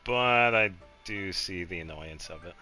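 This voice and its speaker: A man with a low voice streams a video game. He talks slowly and casually, rambling as he thinks aloud to his viewers. Game music plays clearly behind him. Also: bright, forceful tone.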